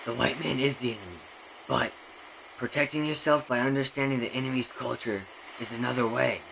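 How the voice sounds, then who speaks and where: Anime fan-dub lead: a man as a defiant young tribesman, earnest, mid-pitched with creaky edge, clear background noise. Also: fairly quick, natural voice. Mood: firm, reasoning.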